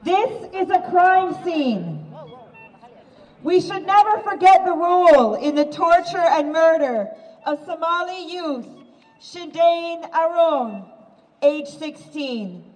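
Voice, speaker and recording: A woman reading a written statement aloud in a slow, measured, emphatic way. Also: very high-pitched, animated, a little mellow. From Toronto. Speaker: guest reading testimony. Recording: distant mic in a reverberant hall.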